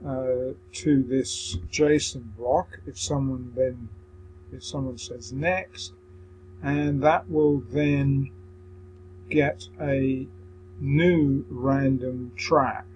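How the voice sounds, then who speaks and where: Man, slow delivery, hesitant step-by-step software explainer, light background noise. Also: medium pitch, fairly even intonation, rough recording. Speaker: tutorial presenter. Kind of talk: software screen-recording walkthrough.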